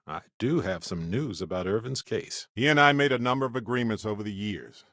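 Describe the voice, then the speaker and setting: A talkative, genial explorer and scientist from a space video game. His voice sits a little low but moves in big, lively swoops, with a wry, theatrical delivery. The sound is close and dry. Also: brisk, no echo. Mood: teasing, conversational. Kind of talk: video game character voice lines.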